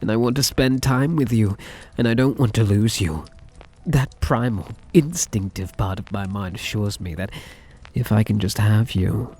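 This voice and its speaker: A man playing a softly possessive, doting young mafia heir in an intimate ASMR roleplay, coaxing the listener tenderly. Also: on the low side, moderate pace, slightly rough. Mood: yearning, possessive.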